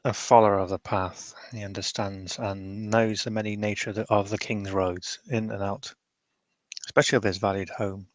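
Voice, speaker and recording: A man playing in a tabletop roleplaying game, improvising casually in a halting way and repeating words. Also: on the low side, flat delivery, dry recording.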